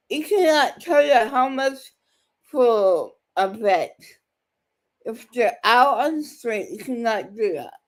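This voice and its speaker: A woman giving casual reaction commentary, blunt and speaking in disjointed phrases. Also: high voice.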